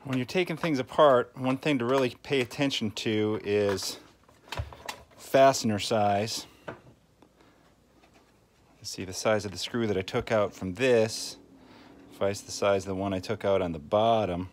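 A man's voice: fairly low pitch, measured pace, long pauses. A man giving a casual hands-on repair walkthrough, thinking aloud in a folksy way as he works. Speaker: host of a tinkering channel. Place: indoors at a work table. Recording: clear background noise, clicks and handling sounds.

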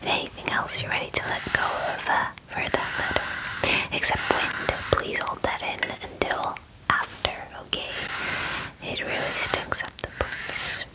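A woman in her twenties speaking in a soft, breathy whisper close to the mic, gently and soothingly playing an attentive spa groomer in an ASMR roleplay, often repeating words. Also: a little slow, poor audio. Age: 20s. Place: small dim room, indoors. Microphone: very close microphone, facing camera.

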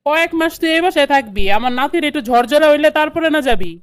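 A woman's voice: high voice, expressive, fast talker, dry recording, clean studio sound. A woman voicing a bossy, fussing grandmother in a comedy cartoon, with a Bangladeshi accent and a commanding, insistent manner. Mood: bossy, scolding. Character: bossy, fussing grandmother, doting mother-in-law. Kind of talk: comedy cartoon dialogue in colloquial dialect.

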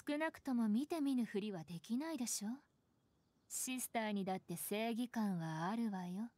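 A woman's voice: mid-range voice, normal speed, darkish tone, no echo, lightly acted. A woman playing a cool, sardonic young nun in an anime game, curt and dryly cutting. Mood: coolly sardonic. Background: game background music.

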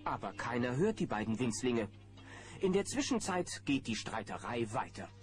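A narrator telling a children's audio play in an expressive storytelling style. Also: medium pitch, normal speed, music in the background, close to an everyday voice.

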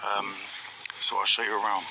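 A young British man vlogging outdoors in a forest on a handheld camera, talking in a relaxed, rambling way with many pauses and fillers. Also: fairly low pitch, gravelly, very noisy. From Britain. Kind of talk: casual outdoor vlog narration. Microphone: handheld action camera.

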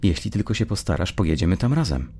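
A man voicing an eager, earnest teenage girl, a caring sister who talks persuasively, in a dark audio story. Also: sits low, big pitch swings, fast, a little hiss or hum. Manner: pleading, keen, persuasive.

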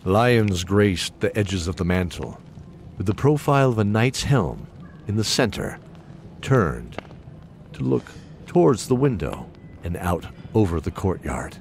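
A man's voice: sits low, sing-song, measured pace, darkish tone, slight background noise, exaggerated voice. A man narrating a scripted fantasy audio drama as a solemn storyteller. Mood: measured. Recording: clear background ambience under voice.

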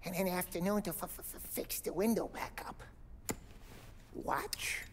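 A stuttering young mechanic. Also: male voice, high voice, measured pace, a little hiss or hum. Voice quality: stutters on first sounds.

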